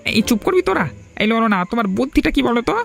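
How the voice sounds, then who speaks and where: A man voicing an excitable, scheming young village husband in a cartoon, wheedling and quick. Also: very high-pitched, vocal fry, full character voice. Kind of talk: cartoon dubbing. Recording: faint music behind.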